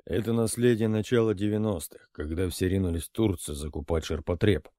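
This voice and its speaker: A man reading an audiobook, voicing a composed middle-aged intelligence colonel who reports in a measured, matter-of-fact way. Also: sits low, mellow, no echo, studio quality, close to an everyday voice. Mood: even.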